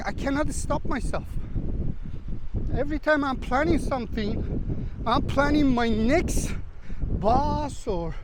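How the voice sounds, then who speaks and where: A man talking casually to his viewers in broken English while walking a beach with a handheld camera. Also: very high-pitched. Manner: chatty, non-native English with broken grammar. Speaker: travel vlogger. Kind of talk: casual walking vlog chat. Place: outdoors on a windy beach. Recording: wind noise on the mic outdoors. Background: wind and outdoor ambience.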